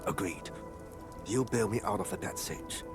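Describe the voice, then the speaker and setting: Grim loyal worker, low-pitched, vengeful edge, video game dialogue, background music. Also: male voice, moderate pace, vocal fry. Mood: grim resolve. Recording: music behind the voice.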